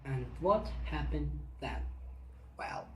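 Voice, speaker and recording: A man's voice: a little high, animated, slow pace, a bit bright, slight background noise. A man with a Russian accent reading a storybook in verse aloud. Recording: echoey room.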